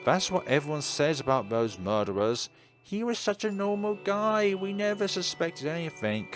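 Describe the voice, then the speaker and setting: A man's voice: fairly high pitch, lively intonation, moderate pace, soft background music, pitched above the performer's own voice, close to an everyday voice. A man voicing a stern, worried teenage girl in a visual novel, insistent and emphatic.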